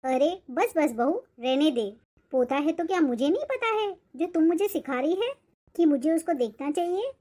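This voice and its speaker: A woman's voice: high voice, animated, moderate pace, mellow. A woman voicing a nagging older mother-in-law in a cartoon family drama, with a complaining manner. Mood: irritated, complaining. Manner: scolding, complaining.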